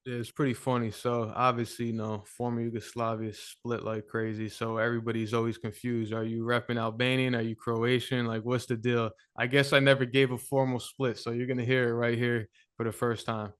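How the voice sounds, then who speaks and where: Relaxed male guest, candid storyteller, smooth voice. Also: medium pitch, fairly quick, few pauses. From United States. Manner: laid-back, candid, even delivery. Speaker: guest musician. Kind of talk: casual podcast interview.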